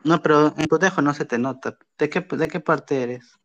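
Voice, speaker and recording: A man's voice: on the high side, moderate pace, fairly bright tone, muffled recording. A man with a Peruvian accent asking casual interview questions over a remote call. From Peru.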